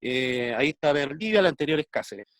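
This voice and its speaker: A man's voice: on the high side, expressive, brisk, dry recording. A man in his fifties with a Chilean accent, speaking as a guest artist on a video call in a thoughtful, hesitant way with many fillers. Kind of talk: conversational interview, reflective.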